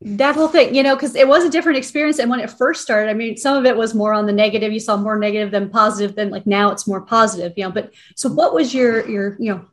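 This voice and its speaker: A woman's voice: a little high, fast talker, hardly any pauses. A woman interviewer asking rambling, filler-laden questions in casual chat. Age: adult.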